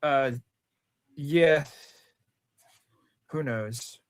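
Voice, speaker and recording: A man's voice: fairly high pitch, extremely slow, smooth. A man with a Rhode Island accent, chatting casually and teasingly as co-host of a movie countdown show. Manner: joking, teasing. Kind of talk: casual film chat.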